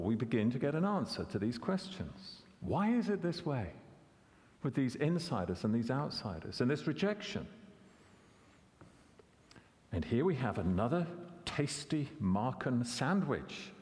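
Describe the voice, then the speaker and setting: Man preaching in a reverberant hall. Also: mid-range voice. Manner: conversational, asks questions, self-corrects with repeats. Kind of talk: expository sermon.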